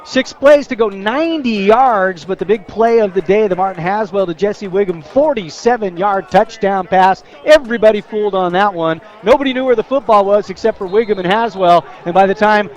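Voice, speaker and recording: A man's voice: high-pitched, brisk, few pauses, dull audio. A man giving live football color commentary on the radio, recapping plays in a colloquial, colorful way. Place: outdoor football stadium broadcast.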